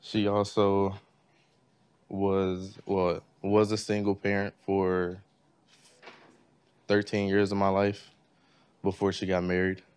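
A young man giving a class tribute speech, halting and full of fillers. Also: sits low, completely flat delivery, a little mellow, clean tone, no room sound. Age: young adult. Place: library classroom.

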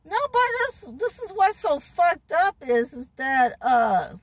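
A woman's voice: high-pitched, very animated, takes it slowly, dry recording. A middle-aged woman telling a rambling, profane story in a parked car, laughing and repeating herself, recorded on a phone at arm's length. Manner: swears heavily, laughs often, repeats herself, gestures a lot. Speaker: vlogger. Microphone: phone camera at arm's length.

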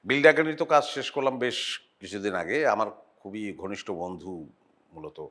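A middle-aged man, an actor guesting on a talk show, talking in a reflective, halting way, often pausing on "ah" and letting his phrases trail off softly. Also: fairly low pitch, big pitch swings. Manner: reflective, halting with frequent "ah" pauses. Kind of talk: casual interview chat.

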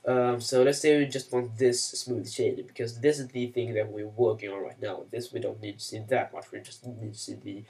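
Guy with a gravelly voice, sitting back from the mic, chatty and rambling, swedish native speaker doing a screen tutorial. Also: mid-range voice, steady tone, moderate pace, few pauses, darkish tone, distant mic. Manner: rambling, self-mocking asides, many "uh" and "you know". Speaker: software tutorial maker. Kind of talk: casual screen-recorded software tutorial.

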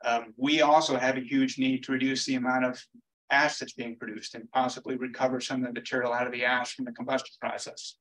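A man delivering a conference presentation from a lecture room podium. His talk is relaxed and conversational, full of little fillers. His voice sits in an ordinary middle pitch. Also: a little fast, low-quality recording. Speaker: industry presenter.

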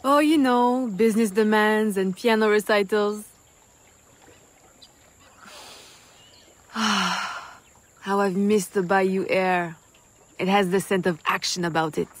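A woman's voice: mid-range voice, steady tone, slow, long pauses, natural voice. An actress playing a commanding young female vigilante of the colonial era in a video game, composed, formal and firm. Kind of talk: scripted video game acting.

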